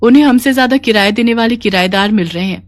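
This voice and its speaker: A woman's voice: fairly high pitch, fast talker, dry recording, studio quality, lightly acted. Woman acting as anxious young wife, everyday domestic tone, cartoon story. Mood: worried. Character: worried pregnant wife of a poor street vendor. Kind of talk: animated children's story dialogue.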